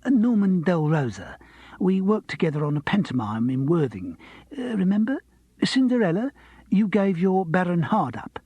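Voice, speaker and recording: A man's voice: sits high, sing-song, normal speed, breathy edge, no room sound, lo-fi sound, voice pushed up, full character voice. A man doing a fussy, eager showbiz pianist.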